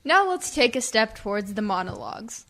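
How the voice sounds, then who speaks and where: A woman hosting a radio comedy with scripted, clearly enunciated, showy presenter narration. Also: fairly high pitch, big pitch swings, normal speed, pushed and bright.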